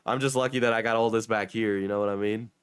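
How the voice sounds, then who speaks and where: Hoarse, sick young man streamer, dry mic. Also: on the low side, fairly even intonation, fast, dry recording. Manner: joking, self-mocking, swears. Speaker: streamer reading chat. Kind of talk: casual livestream chat.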